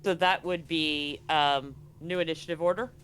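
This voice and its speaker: Higher-pitched man running a tabletop game. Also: steady tone, normal speed, fairly bright tone, slight background noise. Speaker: game master.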